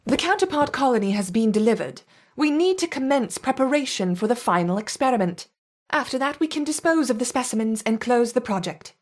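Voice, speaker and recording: A woman playing a brisk, authoritative project supervisor in a video game, clipped, formal and coolly impatient. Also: on the high side, lively intonation, natural voice. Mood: stern. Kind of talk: acted video game dialogue.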